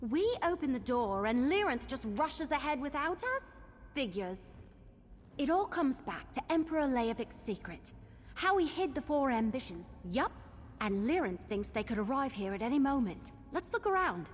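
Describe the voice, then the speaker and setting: High, animated young adventurer heroine. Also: female voice, normal speed. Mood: curious, wry. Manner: chatty, excitable, expressive. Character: eager, plucky young female adventurer companion. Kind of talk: video game voice acting. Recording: long hall reverb, game ambience noise.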